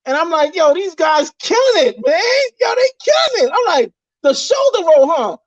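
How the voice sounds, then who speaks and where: A man's voice: very high voice, very animated, normal speed, no echo. A man with a Trinidadian accent, chatting as a guest in a boisterous, slangy way. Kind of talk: casual chat. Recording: dull dry lo-fi sound.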